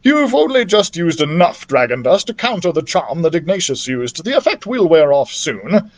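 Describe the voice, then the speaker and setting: Man as older male scholar, storybook mentor, kindly, wise, measured, instructive, varied intonation. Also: a little high, sing-song. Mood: gently instructive.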